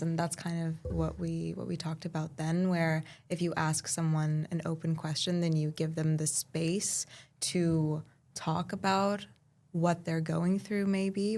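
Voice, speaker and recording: A woman from Turkey and Cyprus, raised in Saudi Arabia, speaking as a poet guest in a relaxed interview and pausing often to think. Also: deep voice. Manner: thoughtful, pauses often with "um". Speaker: podcast guest, spoken word poet. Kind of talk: casual conversational interview.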